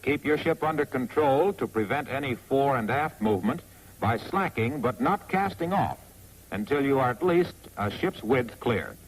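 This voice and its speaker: A man with an American accent narrates a 1940s instructional film in a wry, clearly phrased, scripted manner on a vintage soundtrack. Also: mid-range voice, expressive, moderate pace, poor audio. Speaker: narrator of a military training film.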